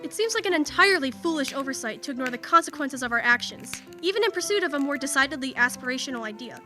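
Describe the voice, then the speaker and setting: A woman's voice: high-pitched, animated, fast, sharp tone, faint music behind. A woman acting an outspoken, principled young literary woman in a period radio drama, speaking formally and earnestly. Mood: challenging.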